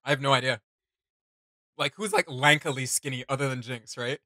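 A man's voice: medium pitch, moderate pace, bright, forceful tone, dry recording, studio quality. A man in his thirties hosting a casual reaction chat, enthusiastic and slangy, recorded in a small home room. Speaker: reaction video host.

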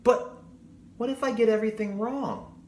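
A man voicing a gentle, earnest, anxious little boy from a storybook, speaking tentatively. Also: sits high, steady tone, moderate pace, voice pushed up, lightly acted. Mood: whispered worry. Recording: roomy echo, audible background noise.

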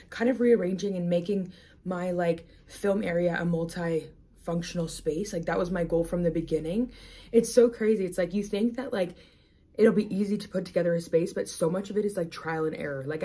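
A young woman vlogger with a slightly raspy, stuffy voice, chatting in a rambling way with lots of "like" fillers, filmed on a camera at arm's length at home and on a patio. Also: fairly low pitch, warm tone. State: stuffy nose, a bit hoarse. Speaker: lifestyle vlogger. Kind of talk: casual rambling vlog chat. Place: home kitchen indoors, then back patio outdoors. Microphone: camera or phone at arm's length.

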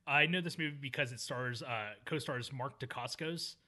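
A man with a bright, forward voice talks about movies on a casual podcast. He rambles, stops to fix names, and laughs easily. His pitch sits in the middle for a man. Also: moderate pace. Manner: rambling, self-correcting, laughs often. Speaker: podcast co-host. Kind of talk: casual film discussion.

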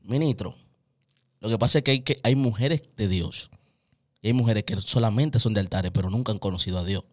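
Animated Dominican man hosting a podcast. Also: on the low side, a little fast. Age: 30s. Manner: animated, gestures widely, preachy. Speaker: host. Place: small indoor home studio. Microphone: studio mic on stand, wearing headphones.

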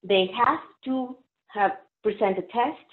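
A woman's voice: on the high side, slow. A woman from Mexico, a native Spanish speaker with a Mexican Spanish accent, giving a friendly, explanatory interpreter training presentation on a video call. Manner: friendly, explanatory, uses fillers. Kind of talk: webinar presentation. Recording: phone-like narrow audio.

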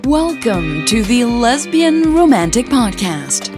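A woman's voice: a little high, big pitch swings, slow pace, over music. A woman narrating a scripted audio story as a podcast host.

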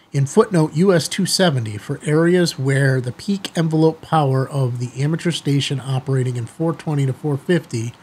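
A middle-aged American man with a slightly husky voice, talking informally and directly to viewers as a video host. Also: a little high. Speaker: amateur radio video host. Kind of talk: casual chatty commentary to viewers. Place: small indoor studio office, seated at desk.

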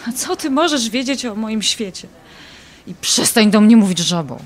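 A woman's voice: a little high, lively intonation, moderate pace. An actress playing a desperate, indignant married woman in an audio drama, defensive and exasperated with a former lover. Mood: distressed, angry. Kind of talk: audio drama acting, dialogue.

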